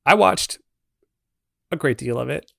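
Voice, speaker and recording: A man's voice: fairly high pitch, lively intonation, unhurried, vocal fry, sharp tone, no room sound, clean studio sound. A man speaking as a podcast guest in a casual, conversational discussion of film.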